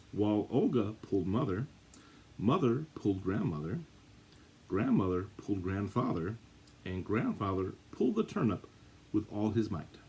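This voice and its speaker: Male children's story reader, husky tone, highly varied intonation, theatrical stress on key words. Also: dark tone. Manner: dramatic, drawn-out emphasis and repetition. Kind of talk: animated read-aloud of a children's picture book.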